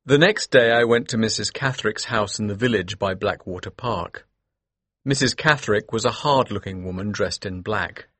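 Low, husky male actor as earnest gentleman narrator. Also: normal speed, dry recording. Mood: measured narration. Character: earnest, determined young gentleman narrator of Victorian mystery. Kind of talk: scripted first-person audiobook narration.

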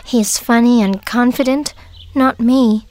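A woman voicing a shy, lonely young girl in an audiobook story, wording each line clearly and expressively. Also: a little high, steady tone, measured pace, darkish tone, lightly acted. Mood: dejected. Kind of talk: dramatised audiobook dialogue for learners.

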